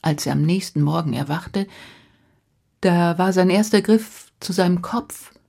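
A woman tells a fairy tale in a slightly low, husky voice. She takes her time, with dramatic pauses and repeated phrases. For suspense she drops into a hushed, whispery tone. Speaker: storyteller. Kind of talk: freely told fairy tale narration.

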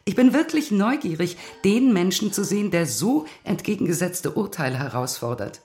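Woman acting low-voiced, dignified older lady. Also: expressive, normal speed, darkish tone, faint music behind, natural voice. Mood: amused, composed. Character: dignified, sober older lady of rank, firmly opinionated. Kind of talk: German radio play acting.

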